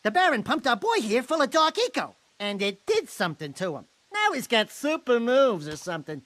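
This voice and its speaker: An actor voicing a small, cocky, cheeky furry sidekick in a video game, with brash joking delivery. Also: male voice, very high voice, sing-song, normal speed, a bit bright, exaggerated voice. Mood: boastful, teasing. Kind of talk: animated video game cutscene acting.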